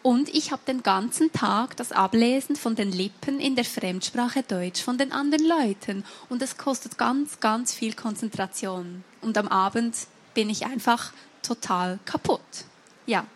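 Swiss woman speaking deliberately, somewhat high. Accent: Swiss German. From Switzerland. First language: Swiss German Sign Language. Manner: measured, deliberate speech with frequent hesitations. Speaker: guest speaker at a book fair event. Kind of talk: personal storytelling to an audience.

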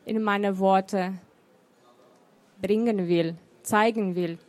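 A middle-aged woman whose first language is Romanian, with a Romanian accent, reading her poems in a deliberate, emotional way. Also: fairly high pitch, no room sound. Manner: slow, deliberate, emotional recitation. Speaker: poet and author. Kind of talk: poetry reading.

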